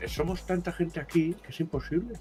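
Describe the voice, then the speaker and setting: A man's voice: fairly high pitch, steady tone, a little fast, music in the background, natural voice. A man voicing a lovelorn young man who speaks broken Spanish with an exaggerated foreign accent, grumbling through roleplay banter. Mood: sulky. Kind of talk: roleplay game chat.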